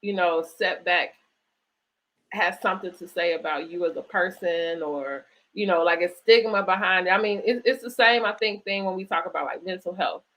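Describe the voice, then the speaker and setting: A woman hosting a conversational interview, speaking reflectively in halting phrases and often checking in with "right?" or "you know". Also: fairly low pitch, a bit bright, poor audio. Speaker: host interviewing an author. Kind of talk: conversational interview at a virtual book event.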